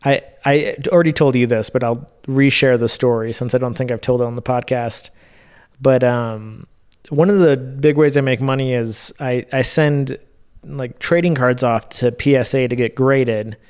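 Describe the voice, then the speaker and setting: A man with a smooth, clean voice at a middle pitch talks as a podcast co-host. He tells anecdotes casually and informally, swearing lightly now and then. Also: steady tone, moderate pace, darkish tone. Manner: informal, mildly profane. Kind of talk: casual chat, storytelling.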